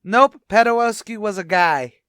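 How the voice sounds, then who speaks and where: A man's voice: sits high, normal speed, a bit bright, clear, resonant tone, no echo, studio quality. A man playing a boastful, wisecracking tavern manager in an old-time radio comedy, with a pompous, blustery delivery.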